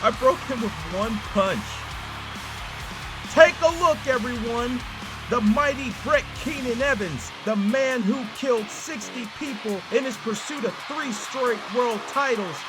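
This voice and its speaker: Man acting a high-pitched, animated cocky brawler. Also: unhurried, music in the background, poor audio. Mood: gloating. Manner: taunting, boastful, foul-mouthed. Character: cocky, loud-mouthed brawler, a gloating male tournament fighter. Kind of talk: visual novel voice acting.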